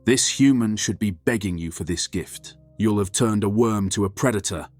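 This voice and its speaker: A man playing a self-interested vampire councilman who speaks in a measured, formal, persuasive way. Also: on the low side, darkish tone, dry recording, natural voice. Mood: smoothly persuasive. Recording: dry recording over music.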